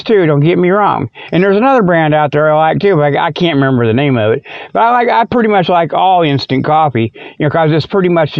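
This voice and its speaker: A man's voice: on the high side, no room sound, highs cut off. An older man hosting a comic radio segment, rambling casually in a folksy, repetitive, digressive way. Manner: folksy, drawling colloquial phrasing, repetitive and digressive.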